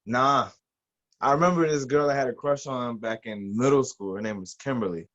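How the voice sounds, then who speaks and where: Relaxed man on a dull lo-fi mic. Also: medium pitch, moderate pace. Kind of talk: casual podcast chat. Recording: dull lo-fi sound.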